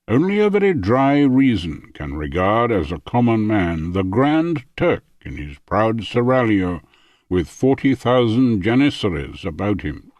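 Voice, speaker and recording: Male audiobook narrator, fairly low register, formal and rhetorical, with widely swinging intonation. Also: a little mellow, no echo. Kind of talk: scripted audiobook narration of philosophy.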